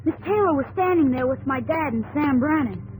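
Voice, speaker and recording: An earnest young pioneer boy telling his story in an old radio play. The voice is high and eager. Loud hiss runs through the old broadcast. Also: expressive, a little fast, rough recording. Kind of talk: 1950s radio drama narration.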